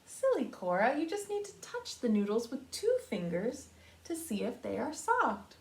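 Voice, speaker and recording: A woman voicing a cheerful, patient mother in a children's storybook, gently instructing in a singsong way. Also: fairly high pitch, huge pitch swings, moderate pace, creaky, far from the mic, exaggerated voice. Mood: gently teasing. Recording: roomy echo.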